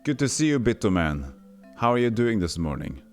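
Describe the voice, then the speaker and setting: A man from Texas with a lowish voice and no regional accent, streaming an old role-playing game. He talks casually to his viewers, reads the game's text aloud and jumps between the game and the chat. Faint game music plays underneath. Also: sing-song, a little fast, a little mellow. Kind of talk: casual gameplay commentary.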